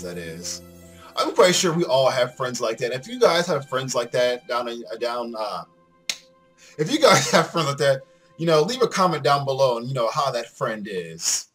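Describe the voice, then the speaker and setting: A man giving casual let's play commentary and reading story text aloud, often halting and repeating phrases as he comments. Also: mid-range voice. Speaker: gaming video host. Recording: music behind the voice. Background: game music.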